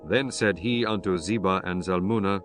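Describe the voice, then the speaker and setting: Male scripture narrator, lowish pitch, solemn measured reading, clear music bed behind.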